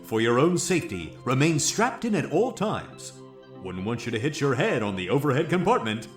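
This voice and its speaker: Man playing a theatrical, wildly animated mission-control showman. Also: medium pitch, moderate pace, roomy sound, faint music behind, natural voice. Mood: grandly announcing. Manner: grandiose announcer delivery. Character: theatrical male mission-control showman in costume, eager and fussy. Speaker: actor in a comedy audio drama. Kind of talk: comedy radio play acting.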